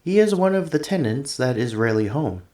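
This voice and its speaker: A man's voice: medium pitch, lively intonation, brisk, darkish tone, echoey room. A man playing a polite, earnest android assistant in an ASMR roleplay, speaking formally and precisely through a robotic voice effect. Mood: thoughtful, puzzled. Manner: formal, precise, literal-minded phrasing. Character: polite, earnest male android tenant assistant, gentle and curious. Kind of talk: ASMR roleplay, scripted one-sided conversation.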